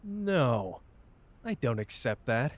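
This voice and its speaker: A man playing a cocky but wounded young sorcerer in an intimate roleplay audio drama, with halting, emotional delivery. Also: mid-range voice, sing-song. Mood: angry, defiant.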